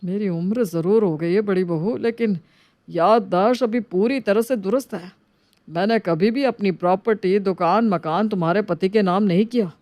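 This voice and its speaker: A woman's voice: mid-range voice, normal speed, a little mellow, dry recording, lightly acted. Lady doing old betrayed dad in a moral story, emotional and pleading, dry studio sound. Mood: firm. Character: elderly betrayed father, remorseful, hurt and indignant.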